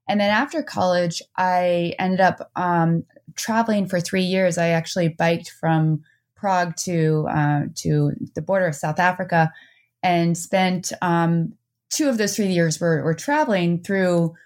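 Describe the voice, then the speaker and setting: A woman in her forties being interviewed as an author and professor, answering remotely from home with many restarts and fillers. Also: fairly low pitch. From Netherlands and New Jersey. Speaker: author and anthropology professor, guest.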